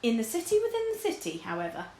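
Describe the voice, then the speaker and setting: A woman reading a children's story aloud as a teacher would, with expressive narration, in an echoey room. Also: fairly high pitch, fast talker, a little hiss or hum.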